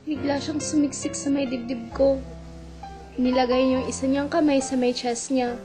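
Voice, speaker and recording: A woman's voice: high-pitched, level tone, normal speed, music in the background, lightly acted. A woman with a Filipino accent narrating as a young woman, in a reflective and wistful way. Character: young woman recalling her love, wistful. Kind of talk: scripted narration in audio drama.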